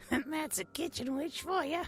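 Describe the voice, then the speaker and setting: A woman's voice: high-pitched, bright, forceful tone, heavily acted. A woman voicing a vain, cheeky male naked mole rat who boasts playfully in an audio drama. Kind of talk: audio drama character acting.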